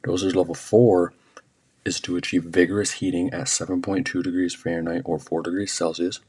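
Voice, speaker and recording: A man's voice: sits low, level tone, brisk, a little mellow, slightly rough. A man reading scripted educational narration in a plain, matter-of-fact way, like a student presenting an instructional video.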